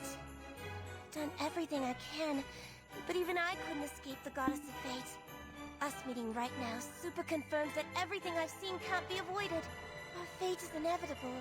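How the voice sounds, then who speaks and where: A woman's voice: high-pitched, natural voice. An actress playing a young, gloomy girl prophet in a video game, dramatic and slangy in a girlish way. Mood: resigned. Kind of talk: video game character acting. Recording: music behind the voice.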